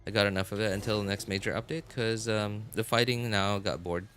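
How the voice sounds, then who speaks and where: Bright-voiced man, halting livestream chatter. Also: fairly low pitch, fairly even intonation, clear, resonant tone. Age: adult, college graduate. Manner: halting, rambling, repeats and rephrases himself. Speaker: game livestream host. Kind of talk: casual livestream chat. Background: music.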